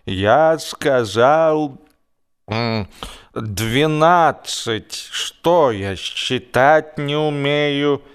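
A man playing a sleepy, grumpy, stubborn man in an audiobook, dragging out his words in slow grunts and mumbles. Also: medium pitch, expressive, clean tone, voice pushed up. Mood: grumpy, drowsy.

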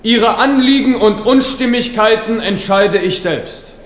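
A man's voice: high-pitched, fairly bright tone. A man playing a French king who proclaims his will in a declamatory radio drama style. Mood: solemn, commanding. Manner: declamatory, measured public proclamation. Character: King Henri of France, proclaiming ruler. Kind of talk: 1970s German radio drama acting. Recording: echoing large hall.

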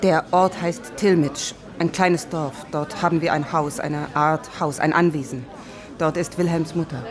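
An actress playing a composed, determined wife in a radio drama, speaking in a measured, polite way. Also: female voice, low voice, fairly even intonation, a crowd in the background. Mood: explanatory, guarded. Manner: measured, matter-of-fact, polite. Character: composed, determined wife smoothing things over for her husband.

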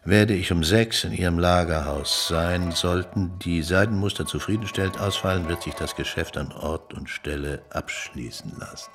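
An actor playing a cool, shrewd magistrate in a historical radio play, drily ironic and persuasive. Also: male voice, deep voice, moderate pace, hardly any pauses, over music. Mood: reading aloud. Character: shrewd male Chinese magistrate bargaining undercover, cool and commanding.

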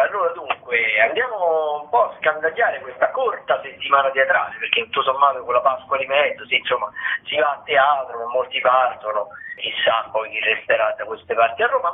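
A man's voice: fairly high pitch, narrow, tinny audio. A man who is a regular theatre critic on a radio show, running through the week's plays in a loose, rambling way. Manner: rambling, many fillers and restarts.

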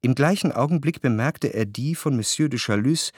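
A man narrating a literary radio drama as a reflective gentleman recalling his past, in a measured, articulate way. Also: medium pitch, expressive, slightly rough, no room sound, studio quality. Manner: measured, articulate reading of long sentences.

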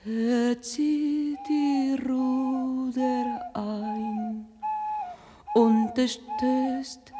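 A woman narrating a radio play in a deliberate, dramatic way, breaking sentences into short phrases. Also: fairly high pitch. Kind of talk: slow, dramatic scripted narration with long pauses.

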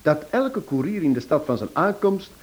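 A man's voice: on the high side, very animated, moderate pace. Theatrical male sleuth, swooping intonation. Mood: dramatic relish. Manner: emphatic, theatrical storytelling. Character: theatrical male sleuth recounting a case with relish. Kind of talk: Dutch radio play acting.

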